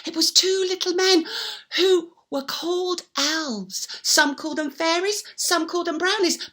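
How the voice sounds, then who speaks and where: A woman's voice: high voice, fairly bright tone. A woman telling a story to young children in a theatrical, playful way, repeating words and addressing the listener.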